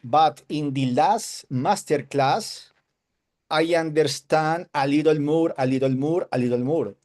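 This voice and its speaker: A man from Colombia whose first language is Spanish, with a Colombian Spanish accent, giving a halting testimonial in broken English over a video call. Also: a little high, darkish tone. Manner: hesitant broken English, searching for words. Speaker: webinar attendee giving a testimonial.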